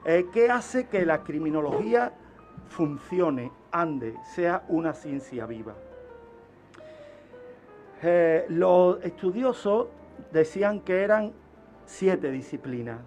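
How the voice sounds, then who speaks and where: A man's voice: fairly high pitch, lively intonation, slow pace, pauses often, darkish tone, soft background music. A man with an accent from Spain explaining as a guest expert on a radio show, asking questions and answering them himself. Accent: Spanish, from Spain. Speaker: criminologist guest.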